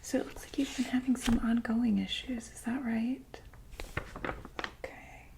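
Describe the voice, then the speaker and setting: A woman playing a calm doctor for monsters, asking gentle, patient questions in a soft unvoiced whisper for an ASMR roleplay. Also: a little high, measured pace, creaky, poor audio.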